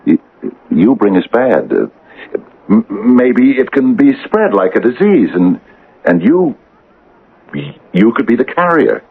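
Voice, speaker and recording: An actor playing an earnest, agitated small-town man in a radio drama, halting and stammering with urgency. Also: medium pitch, sing-song, vocal fry, breathy edge, phone-quality sound, exaggerated voice. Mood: earnest, accusing. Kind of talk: 1980 radio drama acting. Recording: band-limited old radio recording.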